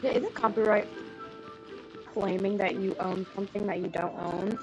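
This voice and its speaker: A woman livestreaming a game with casual, animated, reactive commentary. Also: mid-range voice, moderate pace, rough recording. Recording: game music behind the voice. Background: game music.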